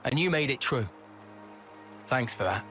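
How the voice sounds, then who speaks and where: A humble, grateful soldier, talking slowly in a mid-pitched voice. He is plain-spoken and sincere, in video game drama with clear music behind. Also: lively intonation, bright, forceful tone. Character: humble, grateful rank-and-file male soldier. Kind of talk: video game cutscene acting.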